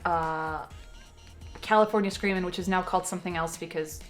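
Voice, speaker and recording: A young woman chatting casually on a stream, reading out viewer messages and telling animated stories with fillers and giggles. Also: fairly low pitch, brisk. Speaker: stream co-host. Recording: faint music behind.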